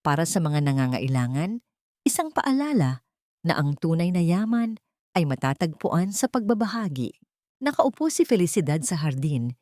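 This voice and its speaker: A woman narrating a dramatic story in an earnest, sentimental, measured way. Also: low-pitched, darkish tone, no echo, clean studio sound.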